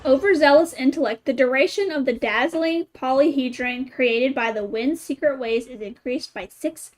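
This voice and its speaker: High-voiced woman streaming a game. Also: moderate pace, slight background noise. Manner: chatty, thinking aloud in short playful remarks. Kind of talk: casual live gameplay chat.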